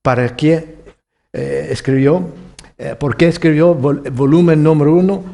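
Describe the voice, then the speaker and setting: A man teaching from the Bible to a congregation, with Costa Rican and Mexican Spanish and a halting manner full of restarts. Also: fairly high pitch, creaky. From United States, California. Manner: warm, halting with many restarts, chuckles often. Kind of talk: sermon teaching.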